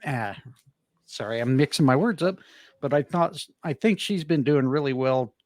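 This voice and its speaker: A man's voice: mid-range voice, lively intonation, moderate pace, a little mellow, dry recording, clean studio sound. A man hosting a casual wrestling podcast, easygoing and rambling, with lots of fillers and self-deprecating asides. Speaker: podcast host.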